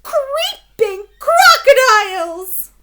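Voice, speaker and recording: A woman voicing an excited, friendly storybook character who is planning a dinner, with emphatic, exclaiming read-aloud delivery. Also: extremely high pitch, drawn-out words, voice pushed up, exaggerated voice.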